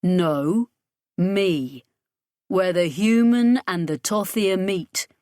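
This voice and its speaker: A woman's voice: fairly low pitch, extremely slow, a little mellow, no room sound, studio quality. A woman voicing a terse, wry young man who is part alien, matter-of-fact, in a science fiction audiobook. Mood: firm, wry. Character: hollow-faced, scarred young male human-alien hybrid, terse and wry.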